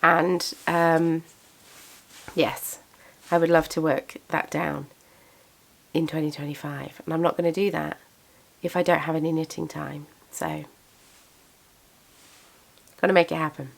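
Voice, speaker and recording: A woman's voice: low-pitched, flat delivery, measured pace, gravelly, long pauses. A young woman chatting casually to camera about her craft business and plans, self-deprecating and a little congested from a cold. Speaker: craft business owner and vlogger.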